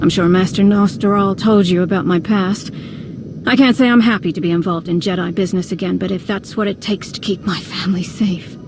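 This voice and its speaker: A woman's voice: mid-range voice, steady tone, fairly quick, noisy background, lightly acted. An actress playing a guarded, blunt former Jedi woman protective of her family in a video game. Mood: grave, haunted. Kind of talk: scripted video game voice acting. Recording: loud music under the voice.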